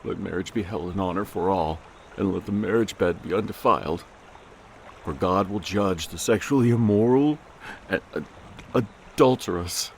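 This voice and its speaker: A man's voice: sits low, moderate pace, gravelly, slight background noise. A man playing an anxious, guilt-ridden man of God in an audio drama, hesitant and stammering. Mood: solemn, guilty.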